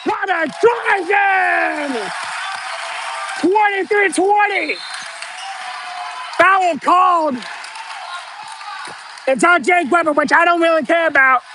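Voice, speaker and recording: A man giving excited live basketball play-by-play, shouting the calls in a strained voice that is giving out. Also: extremely high pitch, takes it slowly, pauses often, a crowd in the background. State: strained, losing his voice. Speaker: amateur play-by-play announcer. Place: indoor school gym. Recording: noisy gym with ball bounces.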